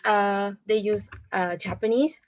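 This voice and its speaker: A woman's voice: medium pitch, measured pace. A woman speaking English as an international student on a video call, hesitant and apologetic, searching for words. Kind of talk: webinar talk.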